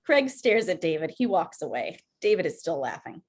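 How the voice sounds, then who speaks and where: A woman narrates a screenplay's stage directions. Her pitch is a little low, and the delivery is scripted reading over a video call. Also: very animated, moderate pace, slightly rough. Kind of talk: scripted narration at a table read.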